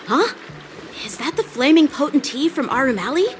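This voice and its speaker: A woman voicing a polite, earnest young sword fighter in an anime-style video game, gently correcting. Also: sits high, big pitch swings, moderate pace. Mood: polite, curious. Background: game music.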